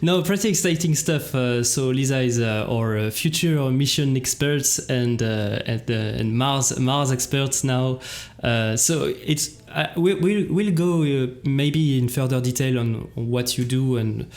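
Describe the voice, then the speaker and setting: A man's voice: medium pitch, a little slow, hardly any pauses, darkish tone. A man hosting a casual livestream chat and introducing guests, often repeating words as he gropes for phrasing. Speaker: show host.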